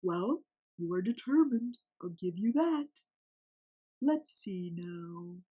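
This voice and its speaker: A woman's voice: fairly low pitch, expressive, slow pace, dark tone, slightly rough, exaggerated voice. A woman voicing a majestic giant male albatross in a storybook, amused, indulgent and wry.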